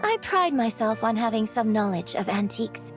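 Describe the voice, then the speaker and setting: A woman's voice: on the high side, sing-song, normal speed, faint music behind. A woman voicing a refined, courteous young artist in a video game, polite, graceful and articulate. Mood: gracious. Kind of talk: scripted video game dialogue acting.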